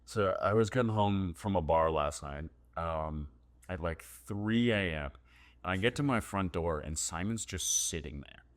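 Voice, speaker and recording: A man co-hosting a podcast, telling a story in a dry, deadpan way during casual chat. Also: low voice, unhurried. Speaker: podcast co-host.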